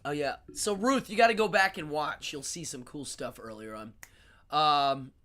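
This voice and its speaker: Man, nasal, casual interview host, lively anecdotes, frequent laughs. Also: a little high, moderate pace. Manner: animated storyteller, laughs often. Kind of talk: casual live-stream interview. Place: New York City.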